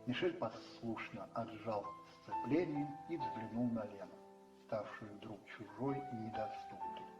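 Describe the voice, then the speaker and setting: A man narrating a radio play dramatically from a script, in measured phrases with pauses. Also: on the low side, dull audio. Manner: measured, pausing between phrases. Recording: roomy echo, distant, faint music behind.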